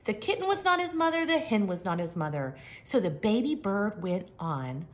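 A woman reading a picture book aloud to young children, with expressive, simple and emphatic phrasing. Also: mid-range voice, normal speed. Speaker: children's story reader. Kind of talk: expressive storybook read-aloud.

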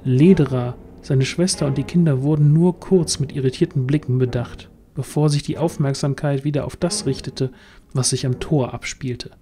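Male narrator for fantasy audio play, warm mellow tone, mid pitch, scripted storytelling. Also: steady tone, normal speed. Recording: music underneath.

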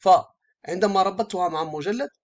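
Hesitant higher-voiced man teaching software. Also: dull audio. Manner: hesitant, halting, often rephrasing. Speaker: software instructor. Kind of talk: screen-share tutorial, explaining step by step.

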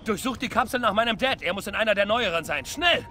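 A man's voice: high voice, animated, fast, very noisy. An actor playing a young, commanding soldier who leads his squad with urgency in a video game. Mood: urgent, commanding. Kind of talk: video game voice acting, German dub.

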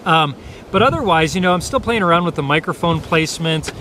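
A man's voice: on the high side, expressive, a little fast, pushed and bright. Man's casual gear review, noisy outdoors. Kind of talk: casual, unscripted product review. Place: outdoors in dry grass by parked motorcycles. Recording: noisy outdoor camera recording.

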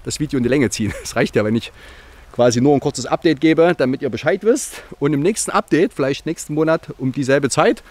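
A man's voice: fairly high pitch, animated, fairly quick, darkish tone, dry recording. A man in his forties with a slight cold, chatting casually and rambling cheerfully to a handheld camera outdoors on a rural yard. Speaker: vlogger. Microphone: handheld camera at arm's length.

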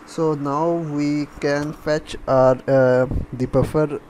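A man giving a step-by-step coding tutorial, halting as he thinks aloud while typing. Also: on the high side, fairly even intonation, darkish tone. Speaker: programming tutorial instructor. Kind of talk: step-by-step coding screencast narration. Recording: faint background noise.

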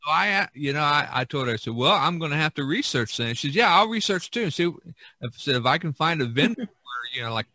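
Male podcast host, bright forceful tone, very dry close sound, casual rambling storyteller. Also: on the high side, lively intonation, fast, no room sound. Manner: rambling, repeats and circles back. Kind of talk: casual chat, reminiscing.

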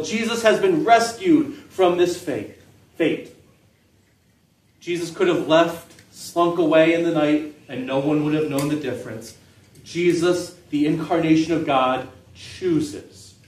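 A middle-aged man preaching a sermon in an open-air park pavilion, with measured delivery and phrases that trail off softly at the ends. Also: a little high, recorded from a distance. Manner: measured preaching with falling, soft phrase endings. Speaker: pastor. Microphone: stand mic, filmed from a distance. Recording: echoey pavilion, voice from off-mic.

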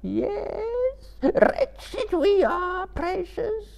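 A man voicing a wretched, pitiful creature that whines, hisses and sobs in a high raspy voice. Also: sing-song, very slow, darkish tone, heavily acted. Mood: whining. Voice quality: high whining, rasping and hissing. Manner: sobbing, whimpering, shrieking in bursts. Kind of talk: audiobook character voice.

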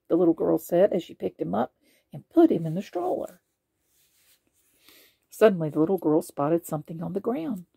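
A woman reading children's bedtime stories aloud in an expressive way. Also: lowish voice, vocal fry, husky, dry recording. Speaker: storybook reader.

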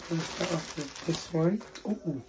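A young woman with a deep, raspy voice, chatting playfully and slangily to camera in a small bedroom. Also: a little slow, background noise. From United Kingdom. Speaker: haul vlogger. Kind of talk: casual chat to camera.

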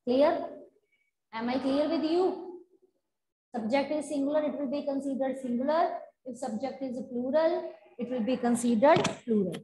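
A high-pitched woman with an Indian English accent teaches grammar, repeating each point and asking her class whether it is clear. Her voice carries a roomy echo from the classroom, picked up by a lapel mic. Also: moderate pace, dark tone. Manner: repetitive, checks in with students often. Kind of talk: online grammar lesson at a chalkboard. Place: classroom in front of a chalkboard.

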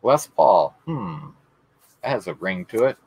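A man's voice: fairly low pitch, very animated, a little slow, vocal fry, a little mellow, slight background noise. A man hosting a casual live auction in a folksy, courteous way, thanking people like buddies. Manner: folksy, courteous, calls bidders buddy and brother. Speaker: auction host showing coins.

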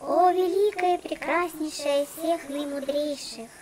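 A woman voicing an obedient, flattering young genie girl doll in a children's cartoon, addressing her mistress with formal deference. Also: high voice, a little slow. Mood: apologetic flattery.

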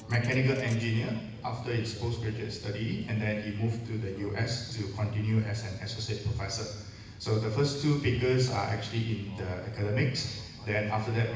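A man acting as event emcee, introducing a speaker formally and repeating himself as he tries to reach him. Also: fairly low pitch. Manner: repetitive, slightly halting. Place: large lecture hall. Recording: distant mic in echoey hall with noise.

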